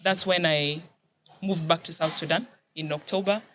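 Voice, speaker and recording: A woman's voice: deep voice, steady tone, normal speed, a bit bright, slight background noise. A young woman from Kenya telling her life story as a guest in a casual chat, laughing often.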